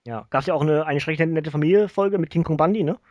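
A man with a high-pitched voice and a German accent, recorded dry and close. He chats informally and nostalgically, as a co-host in a podcast conversation. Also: quick pace, a little mellow, no echo. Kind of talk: casual nostalgic podcast chat.

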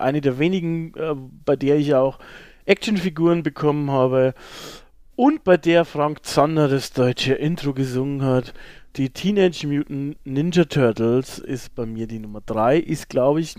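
A man's voice: on the high side, mellow, dry recording, studio quality. A middle-aged man, chatting casually and enthusiastically as a podcast co-host in colloquial language.

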